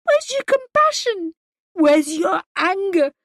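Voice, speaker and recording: A woman voicing a distraught mother whose daughter is missing, pleading and shouting accusations at her husband. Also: mid-range voice, little pitch movement, slow pace, vocal fry, no room sound, studio quality. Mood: furious.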